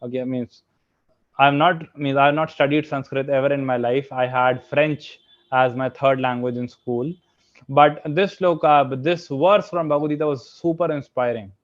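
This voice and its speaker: A man's voice: mid-range voice, fairly even intonation, normal speed, dull audio. A young man with an Indian accent giving an online lecture with slides. He keeps filling his sentences with "okay" and "means" and puts questions to the audience. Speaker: guest speaker, software engineer.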